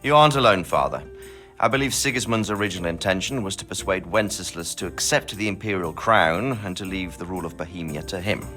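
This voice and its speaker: A man playing a courteous, composed nobleman in a video game, measured, wry and well-spoken. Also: low voice, pushed and bright, soft background music. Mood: explanatory. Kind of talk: video game cutscene acting.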